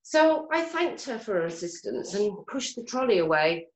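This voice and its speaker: A woman's voice: medium pitch, sing-song, normal speed, natural voice. An actress playing a chatty, fussing, irritable middle-aged mother in a radio play, gossipy and exasperated. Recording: roomy, echoing sound.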